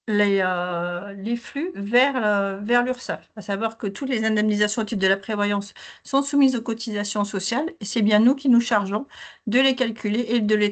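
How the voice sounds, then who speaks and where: A hoarse woman who manages a claims team, steadily explaining procedures in a training session over a video call. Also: mid-range voice.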